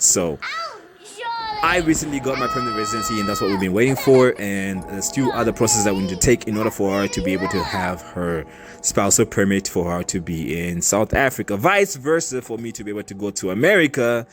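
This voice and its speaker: A young man from Zambia telling his own life story in a casual, earnest and open way, with animated emphasis. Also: on the low side, brisk, a little hiss or hum.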